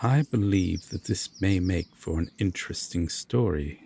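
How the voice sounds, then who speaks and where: A man's voice: deep voice, a little slow, creaky, mellow, a little hiss or hum. A man playing a haughty, possessive fae king in a fantasy audio roleplay, speaking formally and with theatrical deliberation. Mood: intrigued.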